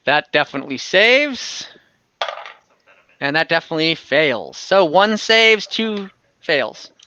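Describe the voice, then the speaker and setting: Slow, bright-voiced man running a game. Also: on the high side, sing-song, clear, resonant tone, muffled recording. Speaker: game master. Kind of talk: casual improvised narration of an online tabletop game. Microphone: online call microphone.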